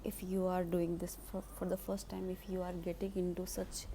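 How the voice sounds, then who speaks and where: A woman with an Indian accent, Hindi her first language, giving an unscripted English lesson in a halting way, with many fillers and restarts. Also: medium pitch, warm tone, slight background noise. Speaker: English teacher.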